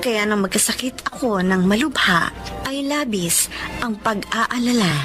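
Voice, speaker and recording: A woman's voice: medium pitch, unhurried, close to an everyday voice. A woman playing a sad, poor young woman narrating her life in a radio drama. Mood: sorrowful. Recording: clear music under the voice.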